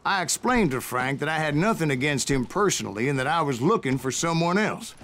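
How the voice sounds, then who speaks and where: An actor playing a weathered older gunslinger with an American Western drawl, telling his tales of revenge in a wry, deliberate way for a video game. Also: a little high, lively intonation, fairly bright tone. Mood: wry storytelling. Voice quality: gravelly. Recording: music behind the voice.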